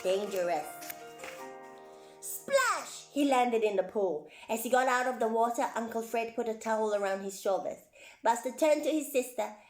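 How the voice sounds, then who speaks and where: A high-voiced woman tells a children's story in a roomy, echoing space with faint music behind her. She reads expressively, like a children's entertainer, and slips easily into chatty asides to her young audience. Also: animated, moderate pace. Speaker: children's storyteller presenting as a clown.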